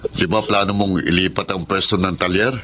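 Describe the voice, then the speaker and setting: An actor in a radio drama playing a concerned, protective uncle with a Filipino accent, plain-spoken and questioning. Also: male voice, sits low, steady tone, a little fast, pushed and bright, slight background noise. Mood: familiar, firm. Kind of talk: Tagalog radio drama acting.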